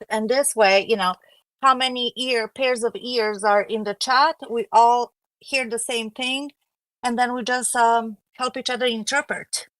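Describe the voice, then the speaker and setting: Upbeat higher-voiced woman, dry sound. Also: fairly bright tone, dry recording, rough recording. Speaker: panel guest. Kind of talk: casual panel discussion.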